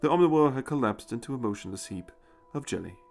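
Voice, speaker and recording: A male narrator reads a dramatic story in a scripted style. His mid-pitched voice is lively in its movement, and music plays behind him. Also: quick pace, gravelly.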